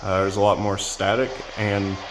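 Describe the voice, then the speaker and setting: A man with a fairly low voice presents a hands-on gear review. He talks casually and explains in plain terms. Some background noise sits faintly behind his voice. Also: flat delivery, moderate pace. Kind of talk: casual product demonstration.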